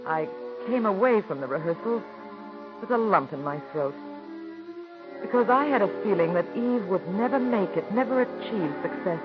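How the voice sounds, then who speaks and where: An actress in 1940s radio acting, playing a middle-aged theater woman who narrates her story in a soft, gentle voice, on a dull old radio broadcast. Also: mid-range voice, expressive, moderate pace, over music, natural voice. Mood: reflective.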